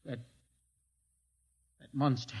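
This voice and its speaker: A man's voice: takes it slowly, natural voice. An actor playing an intense, defiant male fugitive in old-time science fiction radio style, blunt and dramatic. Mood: shaken, defiant. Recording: noisy vintage radio recording.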